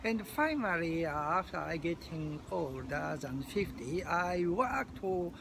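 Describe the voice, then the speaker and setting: Older Japanese-accented man, video diarist, high lively voice, slow, halting; traffic noise behind. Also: vocal fry. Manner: halting, many fillers and restarts. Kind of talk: unscripted video diary.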